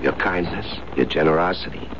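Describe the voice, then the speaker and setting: A dull old radio recording with faint hiss holds a young male fugitive. His voice is very deep, halting and subdued, meek and weary in the manner of 1970s radio drama. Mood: meek, grateful.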